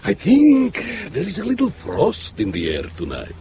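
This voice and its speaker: An actor playing a genial, flirtatious Soviet KGB colonel, teasing and blustery, in a radio drama. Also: male voice, high-pitched, huge pitch swings, normal speed, a crowd in the background, full character voice. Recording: clear background noise and ambience.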